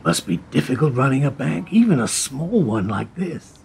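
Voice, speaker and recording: An older actor playing a calm, philosophical bank clerk who gives short, evasive answers in a radio play. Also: male voice, medium pitch, creaky, breathy edge, exaggerated voice. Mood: dry. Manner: measured, terse, evasive replies. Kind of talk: amateur radio play acting.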